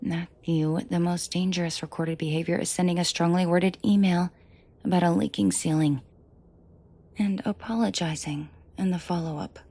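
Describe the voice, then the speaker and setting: A woman playing a menacing, protective and flirtatious mafia boss in an intimate audio roleplay, with a breathy, husky voice and dry sarcasm. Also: steady tone, warm tone. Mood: cold, exasperated. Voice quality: breathy, husky low voice with vocal fry. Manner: slow, deliberate, dryly sarcastic, often dropping to a murmur. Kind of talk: intimate audio roleplay acting addressed to the listener.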